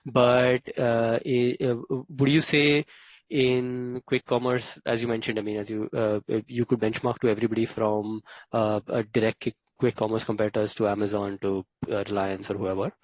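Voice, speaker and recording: A man's voice: lowish voice, level tone, no room sound. Hesitant Indian male analyst, muffled call. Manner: hesitant, halting, polite. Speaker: analyst asking questions on a call. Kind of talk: spontaneous question-asking. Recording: dull, lo-fi phone conference audio.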